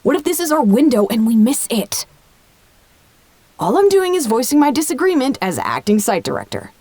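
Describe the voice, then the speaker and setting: A woman's voice: high-pitched, sing-song, normal speed, mellow, no room sound. A woman playing a stressed, exasperated acting site director in a naturalistic audio drama. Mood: insistent, frustrated.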